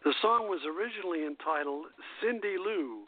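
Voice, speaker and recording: A man hosting a radio show, reading prepared history notes aloud in a halting way and stumbling over words. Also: high-pitched, expressive. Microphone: phone call-in line. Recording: tinny phone line.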